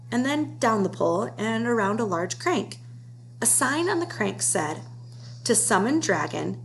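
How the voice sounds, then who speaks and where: Woman reading a children's storybook, noisy recording. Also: a little high. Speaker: storybook reader. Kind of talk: children's read-aloud narration. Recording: clear background noise with hum.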